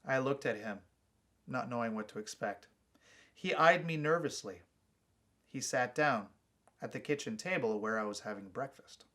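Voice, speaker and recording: A man's voice: mid-range voice, normal speed, long pauses, a bit bright. A man reading his own short story aloud as a scripted first-person narration.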